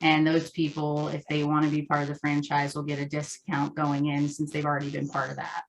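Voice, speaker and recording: A woman's voice: low-pitched, monotone, a little fast, a little hiss or hum, poor audio. A woman speaking casually as a guest in a business interview. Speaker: interview guest, franchise course presenter.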